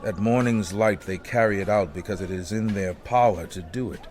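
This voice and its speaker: A man's voice: fairly low pitch, moderate pace, a little mellow, lightly acted. A man voicing a grave prophet pronouncing woe, solemn and declamatory, in a dramatic scripture reading. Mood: solemn, accusing.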